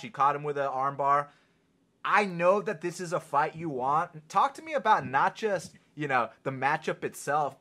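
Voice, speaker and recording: Bright-voiced hype podcast host. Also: male voice, mid-range voice. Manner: energetic, hypes the guest. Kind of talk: hyped interview hosting.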